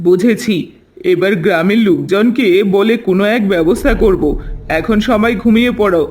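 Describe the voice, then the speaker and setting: A man performs an earnest villager in a cartoon tale. The voice sits high for a man. He speaks urgently and anxiously. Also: normal speed, darkish tone, soft background music, lightly acted. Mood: earnest, questioning. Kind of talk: Bengali cartoon story voice acting.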